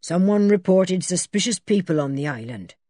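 A woman's voice: fairly low pitch, expressive, normal speed, dark tone, no room sound, studio quality. A woman voicing a friendly, matter-of-fact village policeman in a storybook, with a ponderous manner. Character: stolid, friendly village policeman, adult man.

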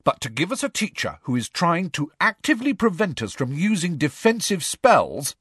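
A man's voice: on the high side, sing-song, normal speed, a bit bright, husky, dry recording, studio quality, voice pushed up, heavily acted. A man voicing a pompous, self-important teenage schoolboy who declaims his words in an audiobook. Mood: indignant.

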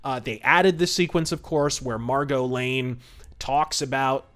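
A man's voice: mid-range voice, steady tone, a little slow, fairly bright tone. A man hosting a podcast, giving casual, enthusiastic commentary in an emphatic, conversational way. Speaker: podcast host.

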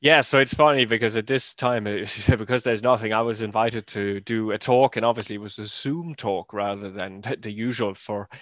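Slightly hoarse male coach, dry sound. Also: fairly low pitch, normal speed, hardly any pauses, a bit bright, dry recording. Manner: explanatory, restarts phrases. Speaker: running coach. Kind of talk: explaining as a podcast guest.

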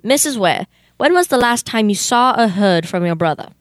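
A young woman playing a businesslike, easily exasperated male detective in a comic old-time radio play, questioning in a deadpan way. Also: mid-range voice, moderate pace, no echo, studio quality, lightly acted.

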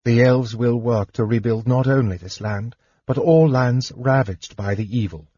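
Gentle elf princess, read by a man. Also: mid-range voice, normal speed, breathy edge, dry recording, highs cut off, close to an everyday voice. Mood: pleading. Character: grieving young elf princess, gentle and earnest.

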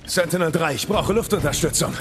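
An actor playing an intense, curt and grimly defiant Victorian knight with a gravelly voice in an audio drama. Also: male voice, fairly high pitch, a little fast, natural voice. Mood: curt, battle-ready. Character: enraged, obsessed Victorian knight, grim and defiant. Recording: loud dramatic music bed.

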